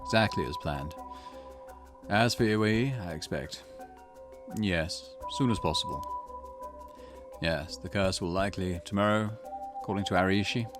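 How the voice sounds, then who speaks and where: Man doing a low, coolly formal villain. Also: unhurried, long pauses, music in the background. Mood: businesslike. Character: calm, calculating male villain, coolly formal captor. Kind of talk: visual novel character reading.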